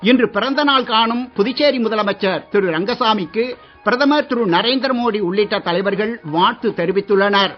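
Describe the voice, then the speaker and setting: Man, newsreader, high voice, muffled radio sound, formal steady delivery. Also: faint music behind. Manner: steady, measured bulletin delivery. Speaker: news reader. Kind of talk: formal radio news reading. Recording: muffled radio broadcast audio.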